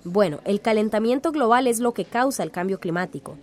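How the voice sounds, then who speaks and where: A woman playing an earnest, well-informed villager in a radio drama, explaining things clearly. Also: mid-range voice, animated, fairly quick, darkish tone, natural voice. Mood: earnest, explanatory.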